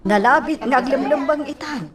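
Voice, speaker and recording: A woman playing a motherly older woman in a radio drama, cautioning and moralizing in a measured way. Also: high voice, a crowd in the background, lightly acted. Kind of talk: Ilocano radio drama acting.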